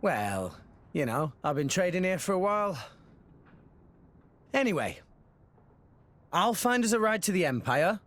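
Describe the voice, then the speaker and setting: Friendly teenage salvager boy, high lively voice, earnest, easily flustered, video game hero. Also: measured pace, long pauses, exaggerated voice. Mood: upbeat, casual. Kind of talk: video game cutscene acting.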